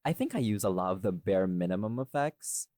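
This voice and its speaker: A man's voice: deep voice, animated, a little fast, warm tone, no echo, clean studio sound. A man chatting casually and expressively about his own audio work on a behind-the-scenes podcast. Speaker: audio drama creator, podcast host.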